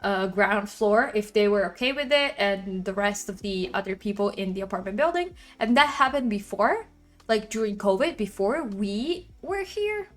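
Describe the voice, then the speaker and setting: A woman with a loud voice chatting casually on a livestream from her apartment, rambling and venting about her neighbors. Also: medium pitch, lively intonation, normal speed, soft background music. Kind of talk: casual chat.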